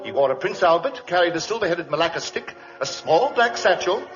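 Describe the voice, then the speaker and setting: Flustered British shopkeeper, high and swooping, proper and fussy, for an old muffled radio play. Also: male voice, lively intonation, a little fast, over music. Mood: polite, obliging. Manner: fussy, genteel, exclamatory. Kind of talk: 1940s radio acting.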